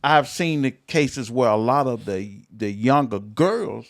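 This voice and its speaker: Gravelly, animated man in his fifties. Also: mid-range voice, slow pace. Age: 50s. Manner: emphatic, repeats himself, laughs often. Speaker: pastor and podcast co-host. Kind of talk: casual chat.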